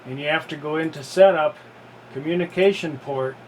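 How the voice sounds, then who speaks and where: A man giving a casual how-to narration in a halting, step-by-step way, as an unboxing video presenter. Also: on the high side, a little hiss or hum, rough recording.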